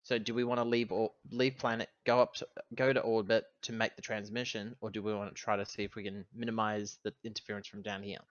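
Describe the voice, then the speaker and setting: A man playing a tabletop roleplaying game, talking casually and thinking aloud as he deliberates and repeats himself. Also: lowish voice, little pitch movement, no echo, muffled recording.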